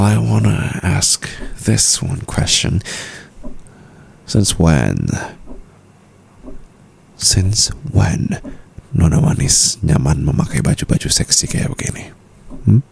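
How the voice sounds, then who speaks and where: Mafia boss husband, male actor: deep, creaky voice, flirtatious and possessive, intimate ASMR roleplay delivery. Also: expressive, a little mellow, slight background noise, heavily acted. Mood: probing, possessive. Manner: slow, teasing murmur, mixing in English phrases.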